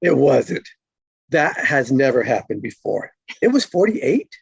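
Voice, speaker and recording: Woman playing easygoing male cop, gravelly and husky. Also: rumbling low, very animated, measured pace, dry recording, lo-fi sound, exaggerated voice. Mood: teasing, boastful. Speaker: actor in an online script table read. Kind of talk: live comedy screenplay table read.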